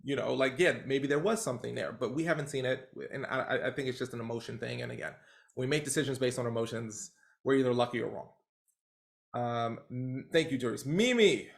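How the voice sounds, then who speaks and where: A man leading a training call as coach, talking in an animated, rambling way with lots of fillers over a video call. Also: mid-range voice, a little fast, creaky.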